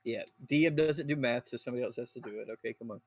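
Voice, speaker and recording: A man acting as game master in a casual tabletop game, giving rulings and narration in a halting way. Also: medium pitch, no room sound.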